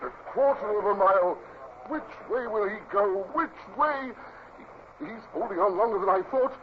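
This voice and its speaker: A man acting an eager, loyal naval first lieutenant in an old radio drama, excitable and deferential. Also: sits high, moderate pace, lightly acted. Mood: tense. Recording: muffled, hissy old radio drama recording.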